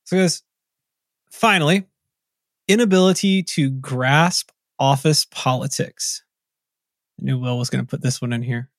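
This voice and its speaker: A man from Tennessee co-hosting a casual podcast, reading out discussion points in a relaxed, agreeable way. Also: mid-range voice, measured pace, long pauses, dry recording, studio quality. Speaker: podcast co-host. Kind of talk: casual chat, reading list items.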